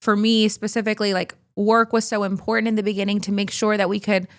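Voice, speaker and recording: A woman co-hosting a podcast in casual chat, relaxed and supportive, often echoing her partner's words. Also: medium pitch, fast. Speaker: podcast co-host.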